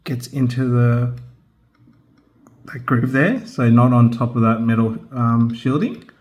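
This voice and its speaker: A man narrating a hands-on assembly tutorial in a casual, careful, unscripted way, pausing as he works. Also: medium pitch, steady tone, a little mellow. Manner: unscripted, careful, halting while working with hands. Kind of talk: casual step-by-step instructional narration. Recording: background noise with clicks and handling sounds.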